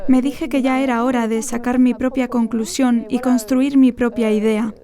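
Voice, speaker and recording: A young woman in her twenties tells her own story in an earnest, reflective way. Her voice sits a little high, with a mellow, warm tone. She speaks in a measured, sincere manner as an interviewee. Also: level tone. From France. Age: 20s. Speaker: interviewee, graduate student. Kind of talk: personal testimony.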